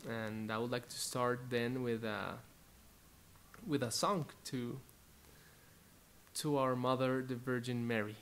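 Young colombian guy, spanish first language, halting soft talk between songs at home, slow, into a studio mic. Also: medium pitch, flat delivery, slight background noise. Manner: soft-spoken, halting, with many restarts. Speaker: worship musician. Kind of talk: introducing songs in a livestreamed worship set. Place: living room at home, indoors. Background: faint acoustic guitar.

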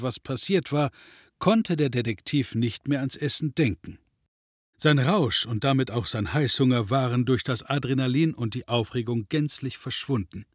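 A man playing a wry, ironic storyteller, narrating a comedic audio drama in a measured, dry manner. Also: medium pitch, dry recording, lightly acted. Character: wry omniscient male storyteller.

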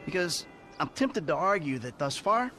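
An actor playing a weary, sardonic middle-aged American criminal in a video game, with a dry way of talking. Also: male voice, a little high, sing-song, normal speed, bright, forceful tone, slight background noise. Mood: sarcastic, needling. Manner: dry, sarcastic. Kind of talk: video game cutscene acting.

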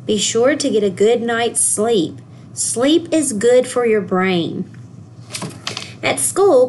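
Slow, higher-pitched woman reading to children. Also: a little hiss or hum. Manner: expressive, pausing to ask the children questions. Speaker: school guidance counselor. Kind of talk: picture book read-aloud for young children.

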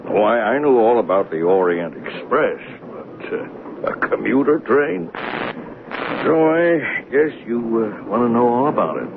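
A man's voice: mid-range voice, lively intonation, unhurried. An actor playing a folksy, chatty train conductor in his sixties in a radio drama, telling his story in a rambling, colloquial way. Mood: reminiscing. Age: 60s. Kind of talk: radio drama acting, first-person storytelling. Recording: noisy, phone-like 1970s radio recording.

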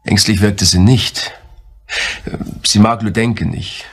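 An actor playing a sober police detective in a crime drama, matter-of-fact and deliberate. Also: male voice, on the low side, a bit bright. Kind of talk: German crime drama acting.

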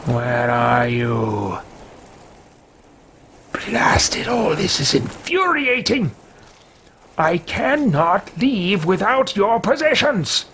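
A man voicing a haughty, power-hungry dark mage in video game roleplay, with pompous, theatrical, formal phrasing. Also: high-pitched, very animated, very slow, pauses often, slight background noise, voice pushed up, heavily acted. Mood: indignant, exasperated.

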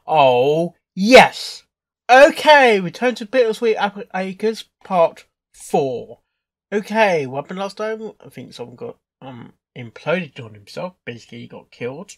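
A young man giving halting, casual reaction commentary as the host of a reaction video, muttering short interjections. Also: high voice, sing-song, no room sound. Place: indoor room at a computer, headset on.

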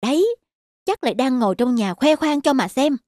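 A woman voicing a young, plain-spoken servant in an animated folktale. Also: high-pitched, moderate pace, no echo, clean studio sound.

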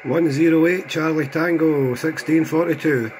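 A man's voice: fairly high pitch, steady tone, normal speed. A man with a Scottish accent making CB radio calls with callsigns. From Scotland. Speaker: CB radio operator. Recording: noisy CB radio hobby recording, loud static.

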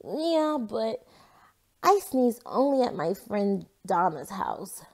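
A woman voicing an eager, excitable young boy in a children's storybook. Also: mid-range voice, takes it slowly, exaggerated voice. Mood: worried.

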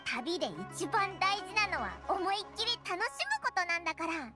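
Squeaky eager little fairy mascot, super high n bouncy, cheeky boyish talk, anime game w music. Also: female voice, lively intonation, moderate pace. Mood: reassuring. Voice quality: squeaky, childlike. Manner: boyish, brash phrasing. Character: small cheeky childlike floating fairy companion, eager. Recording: music behind the voice. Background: playful game music.

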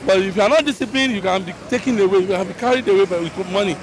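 An older Nigerian man being interviewed on the street, explaining his view in a measured way. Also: high-pitched. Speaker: passerby interviewee. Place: busy city roadside outdoors. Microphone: handheld mic held by interviewer. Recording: outdoor street with traffic noise.

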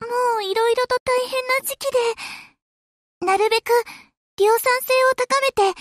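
Tiny polite anime ship girl, super high bright voice, eager to help, sweet n childlike. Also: no echo, exaggerated voice. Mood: gentle, earnest. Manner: polite, dutiful, slightly hesitant. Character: polite, earnest little warship girl, eager to serve. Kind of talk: Japanese anime game voice lines.

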